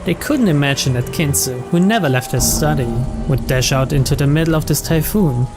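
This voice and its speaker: Higher-pitched man narrating a game. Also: a little fast, over music. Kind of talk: scripted narration read aloud.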